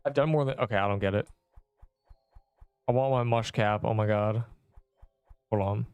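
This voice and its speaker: A young man with a subtle Canadian accent chats loosely while streaming a game, trailing off and restarting his thoughts. His voice is smooth and clear, recorded dry and close. Faint music plays underneath. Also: lowish voice, moderate pace, a little mellow, no room sound. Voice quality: rambling, self-interrupting chatter. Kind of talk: casual live-stream chat while gaming.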